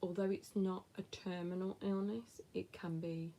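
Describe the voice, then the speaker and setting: Gravelly West Midlands woman, chatty vlogger. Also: lowish voice, level tone, moderate pace, warm tone. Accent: West Midlands English. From England. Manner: chatty, blunt, drops to whispered asides. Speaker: vlogger answering viewer questions. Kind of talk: casual chat to camera.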